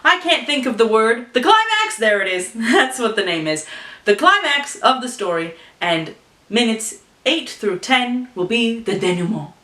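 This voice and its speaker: A woman's voice: a little high, a little slow, far from the mic. Playful chatty woman in an echoey room. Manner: playful, rambling, animated asides. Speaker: vlogger telling an improvised story. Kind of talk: casual chatty to-camera talk.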